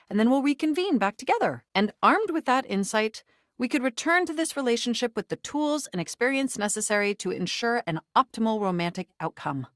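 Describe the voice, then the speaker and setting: Perky, vain female computer character for a dating game, woman actor; gushing, flirtatious, highly melodic, recorded dry. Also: dry recording, close to an everyday voice. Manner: chirpy, gushing, theatrical, self-satisfied. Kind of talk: video game character voice acting.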